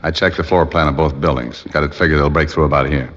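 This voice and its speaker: An actor playing a wisecracking, hard-nosed private detective with a dry, sardonic manner in old-time radio style. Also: male voice, a bass voice, steady tone, quick pace, fairly bright tone. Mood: casual, cool. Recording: dull, muffled old radio recording.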